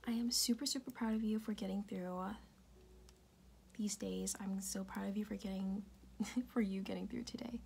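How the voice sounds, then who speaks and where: A woman speaking in a soft, breathy, often unvoiced whisper, tenderly reassuring the listener in an intimate ASMR roleplay. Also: mid-range voice, a little hiss or hum. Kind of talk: ASMR personal-attention roleplay, comforting affirmations. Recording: music playing behind the voice. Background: music.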